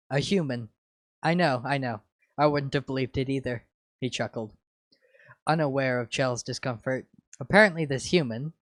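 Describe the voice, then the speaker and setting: Male co-reader, mid-pitched voice, informal and unpolished, very dry recording. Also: no room sound. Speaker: co-host reading fan fiction aloud. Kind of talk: casual banter and amateur reading aloud.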